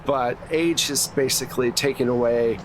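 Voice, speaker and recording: A man with an average-pitched voice acts as game master for a tabletop roleplaying session. He narrates in a casual, informal way and slips in joking asides, with noticeable noise behind him. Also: normal speed, rough recording. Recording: clear background noise.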